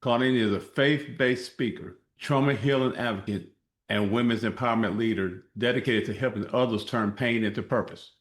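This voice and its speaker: A man's voice: mid-range voice, moderate pace. A man hosting an interview show, encouraging his guest and asking open questions. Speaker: podcast host.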